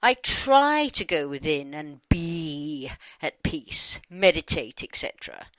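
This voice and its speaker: A woman reading her side of a scripted dialogue in a conversational, playful way, with emphatic pauses. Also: low voice, big pitch swings, fairly bright tone, no room sound, poor audio. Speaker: channeler reading her own questions.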